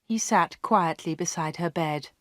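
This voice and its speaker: A woman reading a story aloud for English learners, in a clear, measured way. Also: lowish voice, no room sound, studio quality. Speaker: audiobook narrator.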